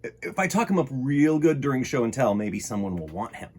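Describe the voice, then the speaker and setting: A man hosting story time, reading a children's picture book aloud in an expressive, emphatic way and talking directly to young listeners. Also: mid-range voice, brisk, husky.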